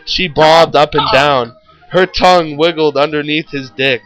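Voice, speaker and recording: A man's voice: on the high side, steady tone, measured pace, fairly bright tone, soft background music, low-quality recording. A man reading a story aloud in a theatrical, mock-serious way. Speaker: story reader, show host.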